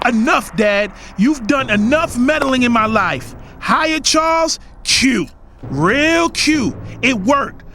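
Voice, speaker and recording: Guy playing assertive grown son in an audio drama, high animated voice, bit of noise. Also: slow pace, full character voice. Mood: defiant, heated. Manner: assertive, emphatic. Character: defiant, protective grown son of a boss. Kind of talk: dramatized first-person audio drama narration and dialogue.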